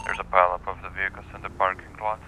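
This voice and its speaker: A man with a gravelly voice roleplaying a police officer over a radio link. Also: deep voice, flat delivery, fast talker, bright, forceful tone, soft background music. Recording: noisy radio-style voice link.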